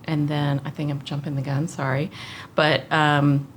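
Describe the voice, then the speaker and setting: A woman's voice: low-pitched, level tone, measured pace, slight background noise. A woman in her forties, a podcast guest, telling her life story in an earnest, reflective way with frequent fillers. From United States. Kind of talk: casual conversational interview.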